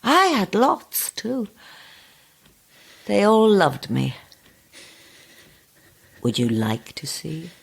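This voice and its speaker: An actress playing a sly, sweetly menacing ancient old woman in a horror audio drama, husky and gravelly, with slow, relishing delivery. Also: mid-range voice, huge pitch swings, full character voice. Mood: gloating, doting. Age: older. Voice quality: husky, gravelly, sometimes hissing whisper. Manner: slow, relishing, theatrical, condescending endearments.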